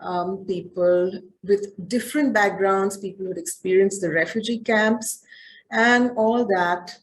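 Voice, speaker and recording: A woman's voice: mid-range voice, unhurried, rough recording. A woman author telling a book club about her book in a loose, conversational way, full of fillers and restarts. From southern India. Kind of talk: casual talk.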